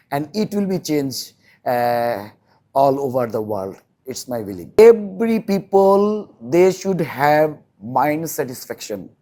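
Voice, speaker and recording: Bangladeshi guy being interviewed, slow halting english, repeats himself, really earnest, mid pitch. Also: warm tone. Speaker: interview guest, volunteer social worker. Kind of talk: earnest interview talk in non-native English.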